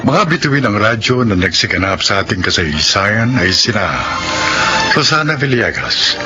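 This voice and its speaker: A Filipino man announcing a radio drama in formal, scripted style. His medium-pitched delivery swings widely. Loud music plays under the dull broadcast audio.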